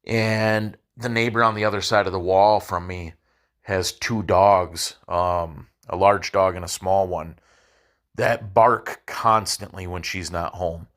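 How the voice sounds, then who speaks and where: Male podcast host, low-pitched, nasal and congested from a cold; unscripted, halting monologue. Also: fairly even intonation, fairly bright tone. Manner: halting, repeats words while thinking. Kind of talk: solo religious podcast monologue. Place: his apartment.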